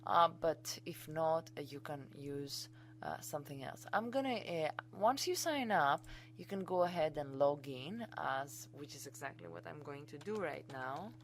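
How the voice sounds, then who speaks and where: A woman with a Greek accent and a notably low voice walks students through software in a casual screencast. She sounds keen and informal, teaching through a computer mic. A faint hum sits under her voice. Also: animated, unhurried. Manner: enthusiastic, chatty and informal. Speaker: college instructor.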